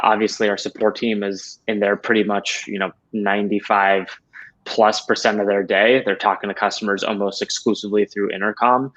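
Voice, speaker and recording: Low-voiced man on a dull video call. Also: fairly bright tone. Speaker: interview guest, head of support operations. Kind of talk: conversational interview answers. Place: small home room, indoors.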